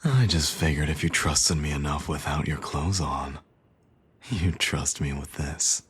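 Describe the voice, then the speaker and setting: Very deep, velvety smooth teasing villain played by a man. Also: unhurried, a bit bright, full character voice. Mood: teasing, coaxing. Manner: teasing, coaxing, sardonic. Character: smug, teasing male supervillain and doting estranged father. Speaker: voice actor in audio roleplay. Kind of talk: intimate ASMR roleplay acting.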